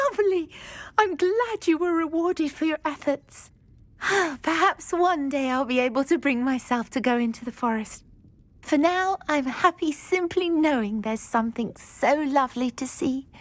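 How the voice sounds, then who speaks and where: High-voiced, timid, genteel woman. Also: lively intonation, unhurried. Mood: delighted. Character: sweet, timid, wistful woman fascinated by butterflies. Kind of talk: video game dialogue acting.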